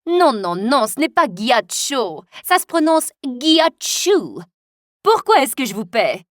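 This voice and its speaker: A woman voicing a glamorous, ambitious stage mother in a dubbed animated story, insistent and fussy, turning from sugary to sharp. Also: fairly high pitch, moderate pace, no room sound, studio quality, natural voice.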